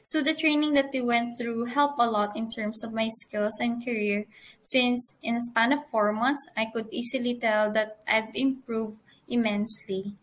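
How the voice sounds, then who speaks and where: Young woman, fairly high voice, earnest student presenter, measured delivery. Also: steady tone, fairly bright tone. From Philippines. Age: 20s. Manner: earnest, measured, occasional restarts. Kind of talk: prepared conference presentation.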